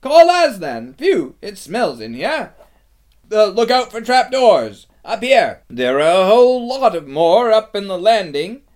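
Man putting on high, excited shouting youngsters. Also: measured pace. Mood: excited, urgent. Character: excited young children and rescuers shouting breathlessly.

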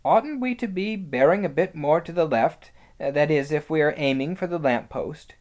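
Sullen younger brother in a storybook , played by a narrator: spiteful schoolboy, lively pitch movement. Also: normal speed, natural voice. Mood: sly.

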